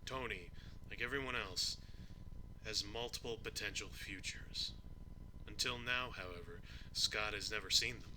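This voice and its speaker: A man voicing a kindly old grandpa who reads a bedtime story haltingly, stumbling over words, with gently teasing manner. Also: lowish voice, full character voice, poor audio. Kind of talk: slow storybook reading with doddering asides. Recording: steady ceiling fan noise behind. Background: ceiling fan.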